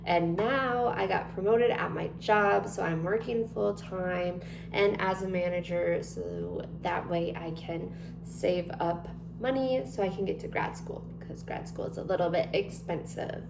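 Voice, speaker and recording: A woman's voice: lowish voice, measured pace, creaky. A woman in her twenties chatting casually to camera, rambling with many ums and likes. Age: 20s. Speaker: vlogger. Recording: echoey room with noticeable hum.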